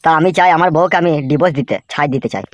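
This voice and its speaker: Male actor voicing aggrieved young husband, high pitch, very rapid delivery, pleading, confused, comedy cartoon. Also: natural voice. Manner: pleading, protesting. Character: aggrieved, bewildered young migrant-worker husband. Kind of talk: Bengali comedy cartoon dubbing.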